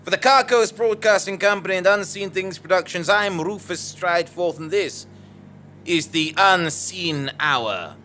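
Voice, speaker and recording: An actor playing a pompous, self-regarding investigative journalist narrating a scripted comic audio drama. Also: male voice, high voice, unhurried, sharp tone, slight background noise. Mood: self-important. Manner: pompous, theatrical.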